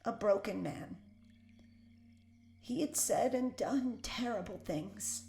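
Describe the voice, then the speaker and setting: Slow, gravelly, defiant Puritan wife. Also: female voice, medium pitch, mellow, soft background music. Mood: tender, solemn. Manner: solemn, deliberate, archaic phrasing. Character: defiant, devout older imprisoned Puritan wife, proud and unbending. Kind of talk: period audio drama acting. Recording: roomy echo.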